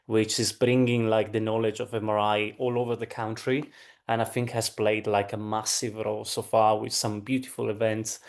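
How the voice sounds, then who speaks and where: A man co-hosting an online webinar, informally introducing a guest speaker. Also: fairly low pitch.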